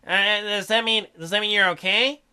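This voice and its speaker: High-voiced man reading with joking asides. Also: fairly even intonation, moderate pace, sharp tone, clean tone. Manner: irreverent, crude, sarcastic commentary. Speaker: let's play host reading a visual novel aloud. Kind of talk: reading story text aloud with joking asides.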